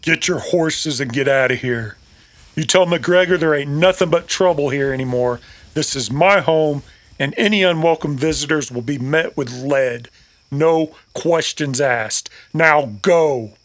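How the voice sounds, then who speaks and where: An actor playing a determined, plainspoken cowboy hero in an old-time Western radio drama, with a folksy manner. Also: male voice, on the high side, measured pace, a bit bright. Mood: stern, commanding.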